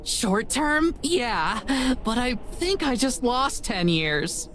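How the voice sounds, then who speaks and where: A woman's voice: on the high side, very animated, extremely slow, fairly bright tone, slight background noise, exaggerated voice. Woman voicing a jumpy, easygoing young traveler. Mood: relieved. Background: background music.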